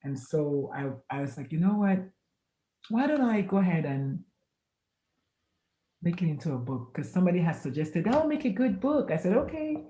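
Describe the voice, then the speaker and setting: A woman in her fifties, an author and pediatrician, telling stories in a casual interview and acting out the dialogue with lively swings. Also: fairly low pitch, moderate pace, long pauses, echoey room, rough recording. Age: 50s. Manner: animated storyteller, acts out quoted dialogue, laughs often.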